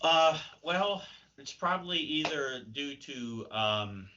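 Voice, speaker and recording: A man's voice: fairly high pitch, expressive, takes it slowly, sharp tone, lo-fi sound, a little hiss or hum. A man answering interview questions as a playwright in a hesitant, thoughtful, wry conversational way. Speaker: playwright and creative writing professor, interview guest.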